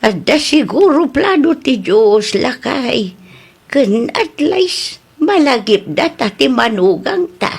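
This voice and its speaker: A woman voicing a warm-hearted elderly mother and wife in a radio comedy drama, speaking gently and without hurry. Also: high voice, sing-song, slow pace, vocal fry, breathy edge, heavily acted.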